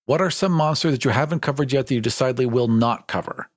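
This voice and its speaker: A man's voice: mid-range voice, fast, no echo. A man co-hosting a podcast in casual, blunt and emphatic conversation. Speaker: podcast co-host and editor. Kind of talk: casual chat.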